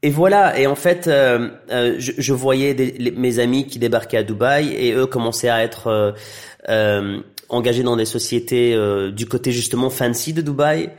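A man's voice: mid-range voice, normal speed. A man in his thirties with Arabic as his first language, raised in Tunisia and Belgium, talking casually as an interview guest with many fillers and restarts. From Iraqi heritage, raised in Tunisia and Belgium. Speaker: interview guest, actor and director.

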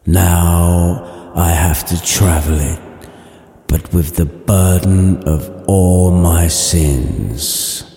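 A man voicing the solemn, burdened ghost of a dead businessman in a story, with spooky, drawn-out warnings. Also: sits low, steady tone, dark tone, heavily acted. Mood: solemn, mournful. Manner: slow, grave warnings. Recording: long reverberant hall echo.

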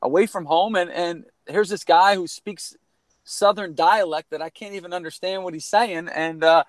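A man's voice: sits high, steady tone, normal speed, dry recording. A man around forty from Connecticut, telling a personal story in an earnest, rambling way, full of restarts and "you know.". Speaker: guest, former college baseball player. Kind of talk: candid storytelling in a podcast conversation.

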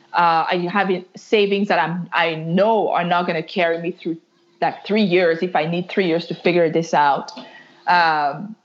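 Woman, low voice, chatty. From Canada. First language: French. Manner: chatty, many "like" fillers, restarts. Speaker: guest entrepreneur. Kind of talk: candid interview storytelling. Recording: muffled lo-fi audio.

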